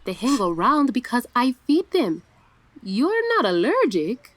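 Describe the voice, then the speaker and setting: An actress playing a proud, teasing makeup and hair artist. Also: female voice, on the high side, big pitch swings, unhurried, no room sound. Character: Jemida, warm Puerto Rican makeup artist.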